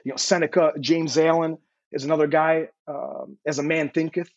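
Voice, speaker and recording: An earnest man in his thirties, from Serbia, talks in a voice a bit higher than most. He stumbles over his words and repeats them as he tells his story. The sound is dry and somewhat dull. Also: little pitch movement, moderate pace, gravelly, no room sound. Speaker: guest. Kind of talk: casual interview.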